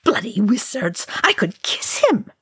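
An excitable dwarf, played by a woman gibbers wildly in a high, swooping voice. He is a blunt storybook character who howls his words. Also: measured pace, husky, no echo, studio quality, heavily acted. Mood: wildly elated. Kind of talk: dramatic storybook character reading.

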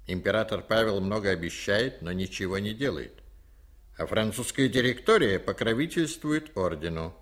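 An actor playing a ruthless, commanding Jesuit priest in a radio drama, speaking deliberately with insistent, drawn-out emphasis. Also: male voice, on the low side. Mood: cold, insistent. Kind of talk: Soviet radio drama acting.

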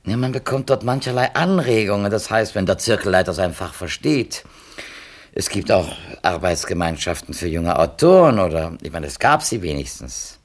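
Wordy bookish editor, husky and animated. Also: male voice, sits low, normal speed. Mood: tentative, advising. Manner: wordy, tentative, self-qualifying. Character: well-meaning, talkative male publisher's editor, bookish and hesitant. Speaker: publisher's editor. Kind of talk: 1970s German radio play dialogue.

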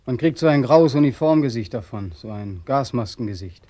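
A male actor's war-returned soldier with a dark, mellow voice at a medium pitch. He is plaintive and self-deprecating, with bitter despair and intensity, in the manner of serious radio drama. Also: lively intonation, brisk, natural voice. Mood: rueful, self-mocking. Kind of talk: post-war German radio play acting.

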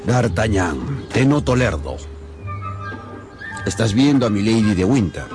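A stern senior officer of the musketeers, very low in pitch. He is knowing and reproving, in a radio drama with music behind. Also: expressive, a little slow.

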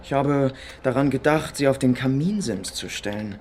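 Actor as a smug, spiteful Soviet officer. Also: male voice, mid-range voice, moderate pace, darkish tone, slight background noise, lightly acted. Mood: mock-polite, gloating. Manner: mock-polite, gloating, sarcastic. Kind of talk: German hardboiled radio crime drama acting.

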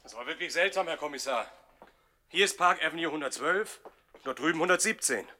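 Bright-voiced puzzled policeman, faint noise. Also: a little high, expressive, fairly quick. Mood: puzzled, defensive. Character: puzzled, defensive male policeman reporting to superior. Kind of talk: 1960s German radio comedy crime acting. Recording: slightly noisy old radio recording.

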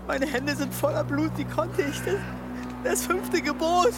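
An anguished monk, halting repetitive speech, guilt-laden, radio drama, music underneath. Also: male voice, very high-pitched. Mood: anguished prayer. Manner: halting, repeating himself. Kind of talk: German radio drama acting.

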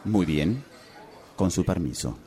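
Man acting a mellow, low-voiced formal waiter. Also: takes it slowly. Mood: formal, obliging. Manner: polite, formal, deadpan. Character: formal, obliging, unflappable restaurant waiter. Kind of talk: comic radio theatre acting.

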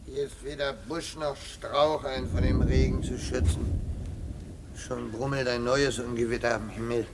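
A man plays a timorous court fool in a radio comedy scene. He mutters to himself slowly, in puzzled fragments, with a gravelly edge. A noisy ambience surrounds his voice. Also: mid-range voice, fairly even intonation, close to an everyday voice. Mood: uneasy, grumbling. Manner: muttering, wondering aloud in short fragments. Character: Trinculo, timorous, puzzled, comic male court jester. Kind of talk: German radio play acting, comic monologue.

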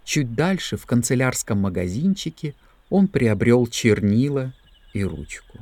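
Male narrator, medium pitch, measured phrasing, scripted dramatic narration. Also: sing-song, darkish tone, no room sound.

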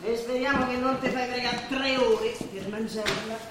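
A woman's voice: a little high, fairly even intonation, normal speed, lightly acted. A woman acting on stage as a weary, bossy ageing Roman housewife who grumbles and bustles through her chores. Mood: weary grumbling. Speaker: stage actor. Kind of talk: theatrical stage drama dialogue. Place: theatre stage, recorded from afar. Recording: echoey stage, distant mic, noisy.

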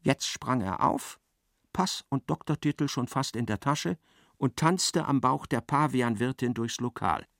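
An actor narrating a darkly comic literary radio play as an observant storyteller. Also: male voice, medium pitch, a little slow, creaky, fairly bright tone, no echo, studio quality. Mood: wry narration.

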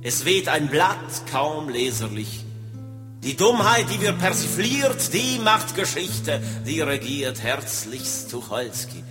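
Guy half singing half reciting poems, slow n solemn, guitar behind, big reverb. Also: fairly high pitch, big pitch swings. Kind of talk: sung and recited political poetry with guitar. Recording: long reverb, loud guitar accompaniment. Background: plucked guitar music.

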